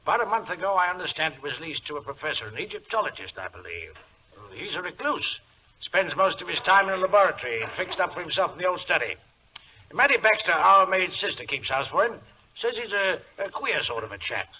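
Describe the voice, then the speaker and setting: An agitated British colonel in an old radio drama. His voice is fairly high and very sharp, blustery and emphatic, on a dull recording with faint noise. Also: sing-song, a little fast, exaggerated voice. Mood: grave, recounting. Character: agitated gentleman colonel, a racehorse owner. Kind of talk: 1940s radio drama acting.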